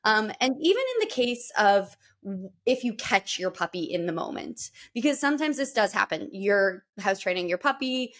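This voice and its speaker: A woman's voice: medium pitch, expressive, moderate pace, hardly any pauses, fairly bright tone. A woman speaking as a guest dog trainer on a podcast, explaining things in an earnest, patient way. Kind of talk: podcast conversation.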